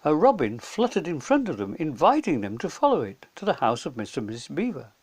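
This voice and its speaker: A man's voice: fairly high pitch, wildly swooping pitch, fast, darkish tone, husky. A man reading a children's story aloud as scripted narration. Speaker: storyteller, reader.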